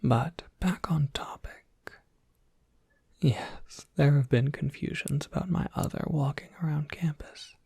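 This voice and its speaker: A very breathy, whispering monster professor, acted out by an ASMR performer, courteous and bookish. The speech is unhurried and given to genteel rambling, with a creaky edge, as in a soft roleplay. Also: medium pitch, dry recording, clean studio sound, full character voice. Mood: chatty, genteel. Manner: polite, gently scholarly, prone to rambling.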